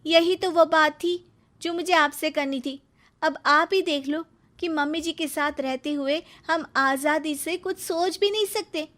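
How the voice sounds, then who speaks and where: A woman as a young daughter-in-law in a cartoon story, voiced high and bright. She complains about her mother-in-law and switches to a sugary tone when she wants a favour. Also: measured pace. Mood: complaining.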